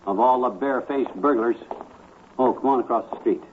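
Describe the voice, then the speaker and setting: A man's voice: mid-range voice, brisk, close to an everyday voice. A man playing a boastful, cocky cheapskate husband who loves to haggle, in a slangy 1950s radio comedy style. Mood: indignant. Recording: tinny, hissy 1950s radio recording.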